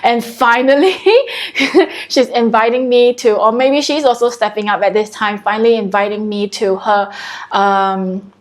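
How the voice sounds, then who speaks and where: A woman's voice: fairly high pitch, fairly even intonation, a little slow. A woman from Singapore with a Singaporean English accent, hosting a casual spiritual coaching live stream from her home, chatty and repeating her phrases as she talks to viewers.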